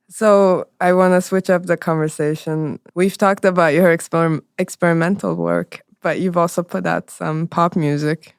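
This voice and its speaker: A woman interviewer with a mixed Swedish and Arabic accent, a native Arabic speaker who grew up in Sweden, talking in a relaxed, easygoing way. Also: deep voice, vocal fry, no echo, clean studio sound. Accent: Swedish and Arabic mix. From Sweden, raised there from age ten. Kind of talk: casual conversational interview.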